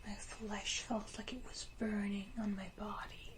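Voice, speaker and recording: A woman playing a sinister, wounded yet condescending female vampire in a whispered ASMR roleplay, speaking deliberately with theatrical pauses. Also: mid-range voice, flat delivery, noisy background, close to an everyday voice. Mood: haunted, bitter. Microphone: close ASMR microphone.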